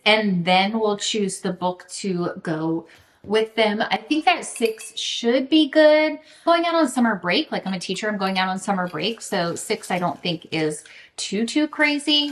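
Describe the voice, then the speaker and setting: A woman with a medium-pitched voice talks to her audience in a casual book vlog. Her delivery is informal and animated, with plenty of emphasis. She has a self-deprecating, humorous way of putting things. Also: unhurried. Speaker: book vlogger. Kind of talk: casual chatty vlog to camera.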